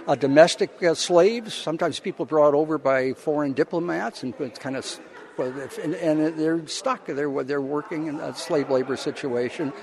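A male professor is being interviewed, his voice a little high for a man and noticeably creaky. He explains things informally and haltingly, wandering through his answers. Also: darkish tone.

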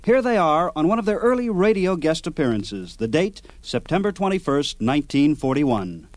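A man's voice: fairly high pitch, big pitch swings, moderate pace, no echo. A man hosting a radio program, announcing in scripted, nostalgic narration. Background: music.

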